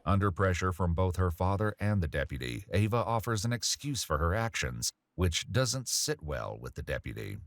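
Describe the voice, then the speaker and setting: A man delivers scripted true-crime narration. His voice is low and deep, recorded dry and close. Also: very animated, moderate pace, few pauses, no room sound.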